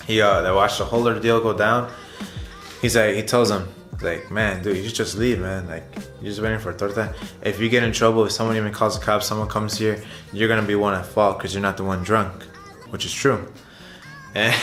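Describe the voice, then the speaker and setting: A young man telling a casual story to viewers as a vlogger, in an animated, chatty way. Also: fairly low pitch. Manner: animated retelling, chuckles often, addresses viewers as guys. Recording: roomy echo, music underneath.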